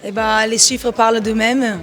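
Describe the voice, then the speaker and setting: A woman in an interview, explaining her work in a measured way. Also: on the high side, flat delivery, soft background music. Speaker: interviewee, podcast founder. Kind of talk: interview answers.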